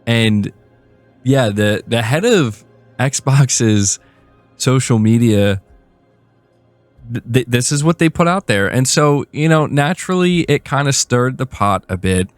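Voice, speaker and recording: A man's voice: mid-range voice, animated, takes it slowly, no room sound, faint music behind. A man giving casual, conversational gaming news commentary as a host.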